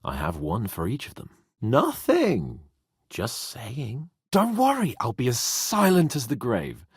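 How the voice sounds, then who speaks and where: Brash young nobleman, wildly animated. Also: medium pitch, measured pace, breathy edge, dry recording, clean studio sound, exaggerated voice. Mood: teasing. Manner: cocky, sardonic, swearing, expressive. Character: brash, teasing young medieval nobleman, proud and hot-headed. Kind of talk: video game voice acting, dramatic dialogue.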